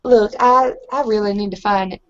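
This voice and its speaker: A woman playing a shy, embarrassed woman in a tabletop roleplay, speaking haltingly, with hesitant pauses. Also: mid-range voice, no echo, dull audio, natural voice. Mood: sad, hesitant. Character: shy, embarrassed woman saying goodbye to friends.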